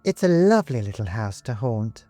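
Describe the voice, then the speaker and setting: A man voicing a fussy little ghost mother in a storybook, prim, gently pointed and nagging. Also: mid-range voice, sing-song, normal speed, faint music behind, lightly acted. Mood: prim, gentle.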